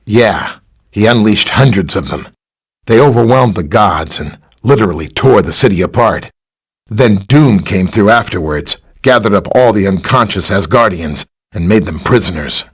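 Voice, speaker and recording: A man's voice: on the low side, lively intonation, moderate pace, slightly rough. A man playing a friendly, easygoing superhero scientist in a video game, explaining things in a casual, conversational way. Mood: matter-of-fact. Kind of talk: video game character dialogue, briefing and greeting.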